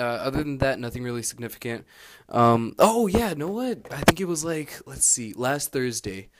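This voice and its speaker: A young man recording a casual audio diary while studying abroad, meandering and candid, with lots of fillers and restarts. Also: medium pitch. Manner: laid-back and candid. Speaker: exchange student keeping an audio diary. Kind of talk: rambling personal audio log. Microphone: handheld recorder held close.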